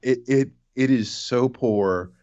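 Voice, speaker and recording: A man's voice: medium pitch, slow, darkish tone, muffled recording. A man co-hosting a podcast with casual comic rants, rambling, sardonic and sweary, stammering and repeating words. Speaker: podcast co-host. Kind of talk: casual comic ranting chat.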